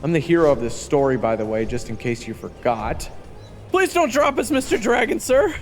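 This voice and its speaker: Guy as a cheeky nervous young hero narrating fantasy, lots of pitch swings, reverby, bit of music under. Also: big pitch swings, moderate pace. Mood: excited, then panicked. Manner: casual, wry asides. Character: young orphan hero, cheeky, anxious, self-deprecating narrator. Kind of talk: first-person fantasy audio drama narration.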